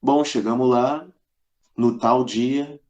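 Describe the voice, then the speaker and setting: A man's voice: medium pitch, fairly even intonation, slow, a little mellow, dull audio. A middle-aged man with a southern Brazilian accent from Rio Grande do Sul, casually telling anecdotes as a podcast guest in a colloquial way, acting out quoted dialogue.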